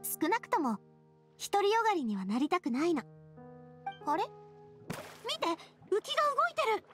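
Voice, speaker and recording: A spirited young lady from an anime game, with a high voice. She talks casually and expressively, breezy yet earnest and kindhearted. Music runs behind her. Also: moderate pace, full character voice. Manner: casual, feminine, expressive storytelling. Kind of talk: Japanese anime game voice acting.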